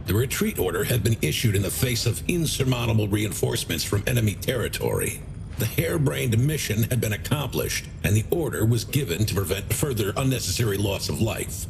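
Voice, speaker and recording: Gravelly, animated military colonel. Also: male voice, lowish voice, brisk, background noise. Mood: firm, defensive. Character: gruff male military colonel, firm and defensive. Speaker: military officer. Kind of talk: video game cutscene acting. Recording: loud music behind.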